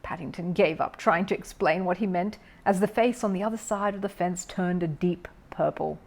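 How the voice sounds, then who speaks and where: A woman's voice: fairly low pitch, moderate pace, a little mellow. Woman narrating a children's story.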